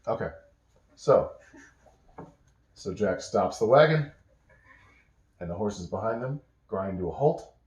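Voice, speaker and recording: A man telling an improvised bedtime story at home, relaxed and pausing often as the listeners interrupt. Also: on the low side, reverberant, lo-fi sound.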